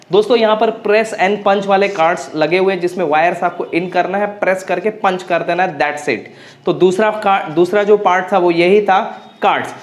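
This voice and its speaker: A man explaining as a technical instructor, repeating key phrases for clarity and mixing in English technical terms. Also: high-pitched. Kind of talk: practical tutorial explanation.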